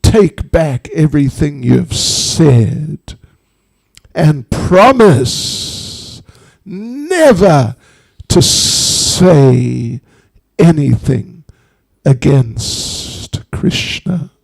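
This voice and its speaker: Casting: male storyteller as a solemn, threatening snake spirit, extremely slow and gravelly, words drawn out for weight. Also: fairly high pitch, big pitch swings, no echo, full character voice, low-quality recording. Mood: stern, commanding. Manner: slow, drawn-out, portentous delivery. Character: menacing divine snake of Lord Shiva, solemn and threatening.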